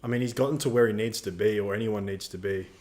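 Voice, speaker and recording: A man's voice: lowish voice, steady tone, fast talker, darkish tone. A young man co-hosting a live Q&A stream, chatting in a laid-back, slangy way. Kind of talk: casual live-stream chat.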